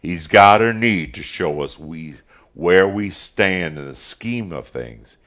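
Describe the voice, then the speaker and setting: A man's voice: sits low, lively intonation, takes it slowly, fairly bright tone, full character voice. A man voicing a proud, determined farmer father in an audiobook, curt and firm. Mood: grim.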